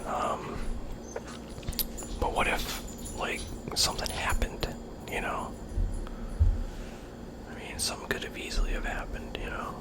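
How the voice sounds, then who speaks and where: A young adult vlogger telling a story in a rambling whisper, sounding tired and soft-spoken, with lots of fillers. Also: measured pace, pauses often, poor audio.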